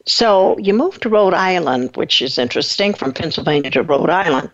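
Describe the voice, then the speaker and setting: A woman hosting an interview, asking long, winding questions and offering steady affirmations. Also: on the low side, moderate pace. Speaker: host. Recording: dull lo-fi line.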